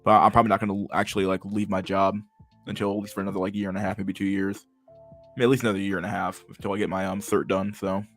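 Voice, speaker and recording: A man in his twenties with a stammer and a lisp, chatting casually to his livestream chat, halting and restarting words and swearing freely. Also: sits low, level tone, a bit bright, faint music behind. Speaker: livestream host reading chat.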